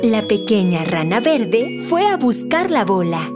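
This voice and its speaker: A woman narrating a scripted children's story in an expressive, deliberate storytelling manner. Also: medium pitch, loud music behind the voice.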